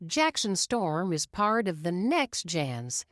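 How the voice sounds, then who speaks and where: A woman's voice: lowish voice, sing-song, slow pace, no room sound, studio quality. A woman voicing a female racing analyst car who explains matter-of-factly. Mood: explaining.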